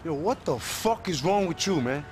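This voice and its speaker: Man acting fed-up young streetwise club guy, lively swoopy voice, slang n some spanish, game cutscene, faint street noise. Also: fairly high pitch, moderate pace, close to an everyday voice. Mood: exasperated. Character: exasperated young male nightclub worker from the streets.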